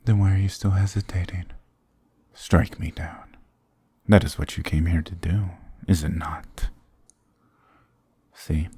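A man's voice: rumbling low, dark tone, breathy edge, dry recording, heavily acted. A man voicing a sarcastic, dominant demon king in an ASMR roleplay, with a taunting, condescending, theatrical delivery.

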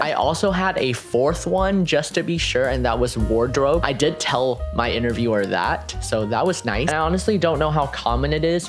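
A young man with an American accent talks to camera at a desk in a small room at home. His voice sits at a middling pitch, and he gives tips in a friendly, emphatic, upbeat way. Light guitar music plays behind him. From United States (California). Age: young adult. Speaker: vlogger. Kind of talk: scripted advice to camera, conversational.

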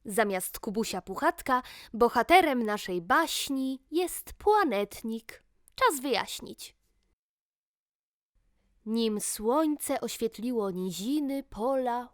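A woman storyteller narrating a rhymed fairy tale to children in an expressive, theatrical manner. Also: a little high, no echo, clean studio sound.

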